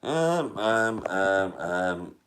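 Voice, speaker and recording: A man hosting a casual live stream in a monotone voice, with many trailing fillers. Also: deep voice, drawn-out words, slight background noise. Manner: rolling a cigarette while talking. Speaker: livestream host.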